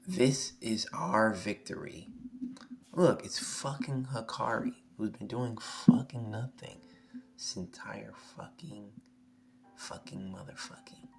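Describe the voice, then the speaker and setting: Hoarse, excitable young man streaming. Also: on the low side, takes it slowly. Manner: excitable, swears freely. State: hoarse, losing his voice. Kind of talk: live reaction, reading a manga chapter aloud. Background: faint music.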